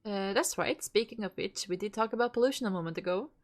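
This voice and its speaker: A woman's voice: mid-range voice, fast. A woman with a stuffy nose streaming a game, reading its dialogue aloud and chatting casually with viewers, with the odd curse thrown in. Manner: reads lines aloud, swears and laughs between.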